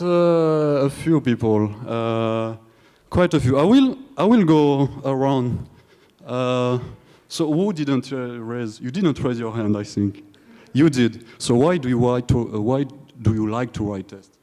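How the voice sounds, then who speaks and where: A man's voice: medium pitch, lively intonation, slow pace, mellow. A young man with a French accent giving a conference talk, joking with hesitant fillers and restarts. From France. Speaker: conference speaker. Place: large conference hall. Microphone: handheld or stage microphone. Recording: hall reverb from a conference room.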